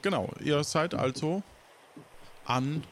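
A man's voice: on the high side, takes it slowly, slight background noise. A man casually narrating an improvised game as its game master.

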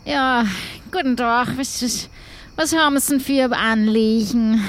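A woman's voice: fairly high pitch, measured pace, a little hiss or hum, lightly acted. A woman playing a busy, flustered island doctor in an improvised audio adventure. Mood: harried.

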